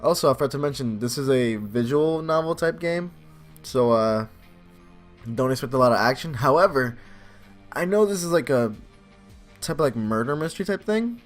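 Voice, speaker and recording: A man's voice: mid-range voice, expressive, normal speed. Young man gaming host, casual, music behind. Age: young adult. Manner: rambling, self-deprecating. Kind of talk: casual let's play commentary and reading aloud. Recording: faint game music behind voice. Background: game music.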